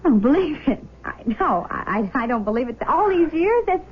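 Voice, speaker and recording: Shaken teenage daughter, high voice, halting, radio drama style, dull old broadcast sound. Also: female voice, sing-song, slight background noise. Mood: stunned disbelief. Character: shocked nineteen-year-old adopted daughter, emotional but loving. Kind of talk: 1970s radio drama acting.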